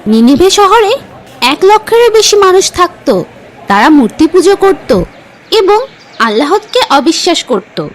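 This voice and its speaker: A woman acting as a cartoon teacher narrates religious tales for children. The voice is extremely high and moves animatedly up and down. Music plays underneath the scripted storytelling. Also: big pitch swings, unhurried, exaggerated voice, low-quality recording. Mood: instructive.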